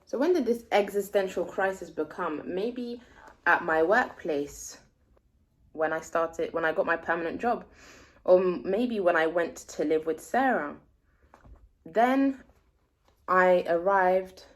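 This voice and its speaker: A woman's voice: fairly low pitch, takes it slowly, pauses often. A woman whose first language is French, chatting casually to viewers and thinking aloud as she works through a book in a foreign language. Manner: chatty, thinks aloud while puzzling out the text. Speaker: language-learning vlogger. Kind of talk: casual reading vlog.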